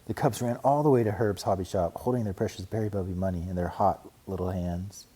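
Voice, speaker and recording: A man narrating a children's storybook aloud in an expressive read-aloud style. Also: sits low, a little fast, breathy edge. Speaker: storybook reader.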